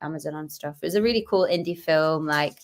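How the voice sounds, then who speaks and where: A woman's voice: low voice, fast talker. A young woman from Cumbria with a Northern English accent, chatting animatedly as a podcast guest and telling stories with quoted asides. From Barrow-in-Furness, Cumbria, England. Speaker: guest, sketch comedian and actress. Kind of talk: casual podcast chat.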